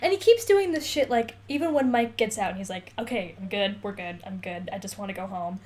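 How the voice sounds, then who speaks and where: A woman's voice: mid-range voice, a little fast, darkish tone. A woman co-hosting a casual, comedic book discussion, emphatic and sweary, with an air of mock exasperation. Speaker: podcast co-host.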